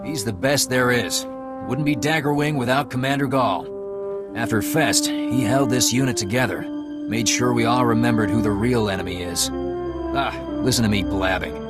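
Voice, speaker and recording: An actor playing a chatty, loyal soldier in a video game, with a breezy, talkative manner. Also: male voice, medium pitch, moderate pace, lightly acted. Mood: admiring. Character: chatty, loyal male soldier devoted to his commander. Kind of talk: video game dramatic dialogue. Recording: very loud music underneath.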